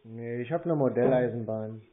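Laconic deadpan man on the phone. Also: medium pitch, moderate pace, background noise. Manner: laconic, feigned naive, deadpan. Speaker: prank caller baiting phone scammers. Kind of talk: casual phone chat. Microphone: telephone. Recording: narrowband phone line.

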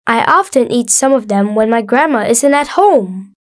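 A woman voicing a cheerful young schoolboy in a scripted textbook dialogue, speaking slowly and clearly. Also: fairly high pitch, animated, natural voice. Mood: curious. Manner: slow, clear, bright child-like delivery.

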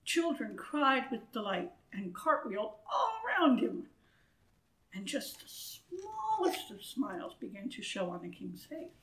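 A woman in her sixties reading a children's story aloud in an expressive, deliberate way, with asides to listeners, recorded indoors a few feet from the camera. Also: high-pitched, rough recording. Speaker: storybook reader. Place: wood-panelled church room, indoors. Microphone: camera a few feet away.

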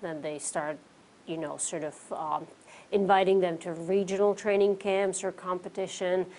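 A woman in her forties from the Czech Republic with a Czech accent, relaxed as an interview guest, sprinkling in fillers. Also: fairly low pitch, normal speed. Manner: relaxed, many fillers like "you know" and "um". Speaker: interview guest, pro cyclist. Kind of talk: casual interview answers. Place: small studio with curtains, indoors.

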